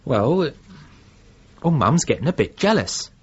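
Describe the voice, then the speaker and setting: Hesitant British grown son, lively pitch. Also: male voice, a little slow. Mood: awkward. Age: adult. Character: concerned grown son, awkward, plain-spoken working-class man. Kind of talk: British radio drama acting. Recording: muffled radio recording, faint noise.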